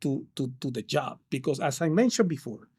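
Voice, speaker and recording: A man's voice: medium pitch, lively intonation, brisk, a little mellow, husky. A man from Peru, a counselor answering interview questions with many hesitations and restarts. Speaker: case manager counselor.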